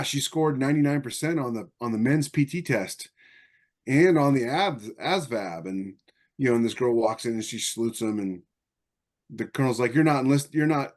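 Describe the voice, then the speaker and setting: A man with a mid-range voice in casual discussion. He tells things anecdotally and with firm opinions, often halting and starting again as he goes. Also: mid-range voice, a little mellow. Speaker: podcast panelist.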